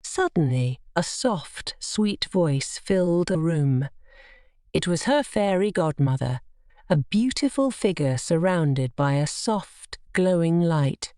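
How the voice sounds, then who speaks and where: A woman narrating a scripted children's bedtime story in an expressive, measured storytelling manner. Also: lowish voice, smooth, no echo, clean studio sound.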